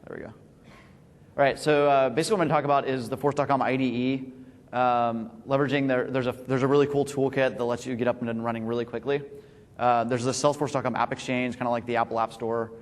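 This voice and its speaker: A man presents software at a conference in a loose, casual way, filling pauses with ums and uhs. His voice sits in a middle range. A long hall reverb trails behind every line. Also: medium pitch, steady tone. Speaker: company founder presenting. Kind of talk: tech conference talk. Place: large conference stage.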